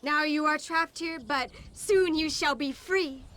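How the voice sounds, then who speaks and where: A woman's voice: sits high, a little slow, fairly bright tone. A woman playing a haughty, zealous cult recruiter in a video game cutscene, preaching in grandiose, solemn pronouncements. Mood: grandiose, insistent. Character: zealous, haughty female cult recruiter and self-styled queen.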